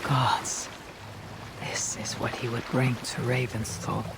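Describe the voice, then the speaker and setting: An actress playing a resolute, seasoned Viking raider in a video game, with a low, husky voice and a measured, commanding manner. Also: female voice, little pitch movement, background noise, heavily acted. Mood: grave. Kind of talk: video game cutscene acting. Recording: loud cinematic score behind.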